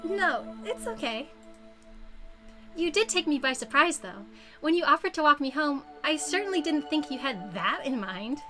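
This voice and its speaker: A woman voicing a sweet, polite high school girl, gentle and a little teasing, in a visual novel read-aloud over music. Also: very animated, moderate pace. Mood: polite, coy. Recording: music playing under the voice.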